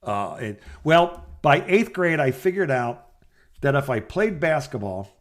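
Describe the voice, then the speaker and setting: A man's voice: fairly high pitch, very animated, a little slow. Middle-aged man rambling through casual stories. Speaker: podcast co-host. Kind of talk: casual phone chat, storytelling.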